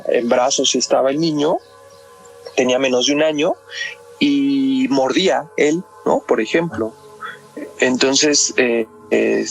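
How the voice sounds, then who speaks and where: A man's voice: medium pitch, creaky. A Mexican man explaining things conversationally as a psychologist guest on a podcast, often ending phrases with a tag question. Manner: constantly checks with tag questions.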